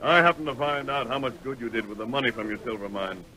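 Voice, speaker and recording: A man's voice: medium pitch, pushed and bright, lightly acted. An actor playing a commanding, heroic masked man of the Old West with an American accent in a vintage radio drama. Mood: benevolent, firm. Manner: authoritative, deliberate, heroic. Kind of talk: 1930s-40s radio western acting. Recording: noisy vintage radio recording.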